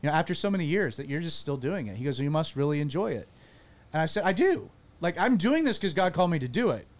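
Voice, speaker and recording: A pastor hosting a casual panel podcast, animated and self-deprecating. His voice sits fairly high for a man. He often trips and restarts mid-sentence. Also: fast talker.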